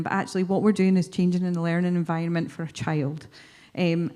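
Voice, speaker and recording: A woman's voice: fairly low pitch, a little mellow. A woman speaking unscripted as a panellist, thinking aloud and landing emphatically on her points. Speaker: panel speaker on outdoor education.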